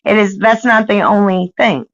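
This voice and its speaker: Adult American woman, fry in the voice, halting but persistent in dispute, muffled lo-fi call recording. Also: mid-range voice, steady tone, no room sound. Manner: insistent, halting, repeating herself. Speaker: parent questioning a caseworker. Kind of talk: argumentative phone conversation. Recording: dull lo-fi recorded phone call.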